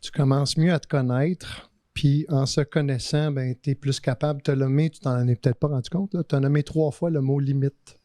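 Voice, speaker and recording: A man's voice: mid-range voice, fairly even intonation, moderate pace, mellow, no room sound, clean studio sound. A middle-aged man with a Québécois French accent, co-hosting a podcast in a reflective, questioning way. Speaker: podcast co-host. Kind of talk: casual podcast conversation.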